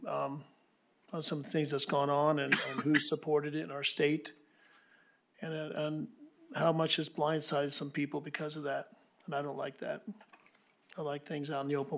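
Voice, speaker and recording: A man, a county commissioner, giving measured, plainspoken remarks at a meeting, pausing with frequent ums. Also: fairly high pitch, gravelly, a bit bright. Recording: dull lo-fi meeting recording.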